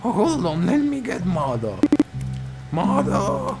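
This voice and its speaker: Guy doing a goofy proud old polar bear dad, jolly and oblivious, big swingy voice, loud music behind. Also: high voice, huge pitch swings, takes it slowly, pitched above the performer's own voice, exaggerated voice. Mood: jolly, excited. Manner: jolly, gushing, fatherly. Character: cheerful, oblivious older polar bear dad, beaming with pride. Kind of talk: exaggerated visual novel read-aloud.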